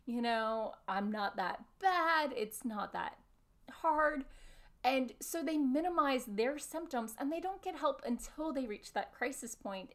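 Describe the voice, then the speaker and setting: A woman in her thirties giving an earnest, emphatic explanatory talk to camera, recorded indoors at home. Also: a little high. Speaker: video presenter summarizing a discussion panel. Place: small furnished room at home, indoors.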